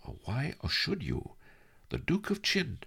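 A man's voice: a little high, slow, creaky, breathy edge, voice pushed up, exaggerated voice. A man playing a wry old sage. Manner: calm, dry, reasoning tone. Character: wily old male sage, calm and wry.

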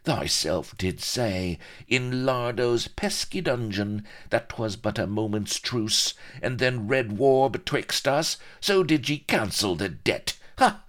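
A man's voice: medium pitch, expressive, slow pace, hardly any pauses, a bit bright, voice pushed up. A man voicing a lean, mocking pirate with a sneering manner and archaic speech, in a storybook reading. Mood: sneering mockery.

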